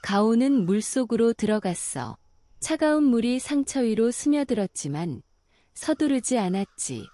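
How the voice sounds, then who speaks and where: A woman narrating a bedtime fairy tale in a calm, slow and soothing way. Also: a little high, no echo, clean studio sound. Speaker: storyteller.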